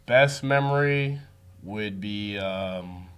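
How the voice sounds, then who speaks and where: An easygoing young man with a lowish voice talks about his sport. His answers are casual and loose, filled with "like". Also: extremely slow, a bit bright, clean tone. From United States. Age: young adult. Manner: easygoing, says like a lot. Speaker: student athlete guest.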